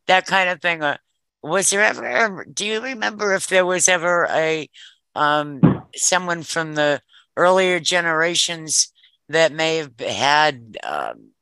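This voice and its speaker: A woman's voice: low-pitched, expressive, unhurried, pushed and bright, clear, resonant tone, dry recording, rough recording. An older woman chatting casually, hesitant, circling back and repeating her phrases as she goes. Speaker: discussion participant. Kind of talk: casual conversation.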